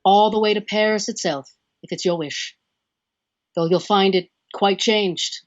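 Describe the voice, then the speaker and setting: A woman's voice: mid-range voice, unhurried, close to an everyday voice. Woman acting a courtly captor in muffled audio. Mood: courteous. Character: courtly, probing captor, polite and wistful doctor.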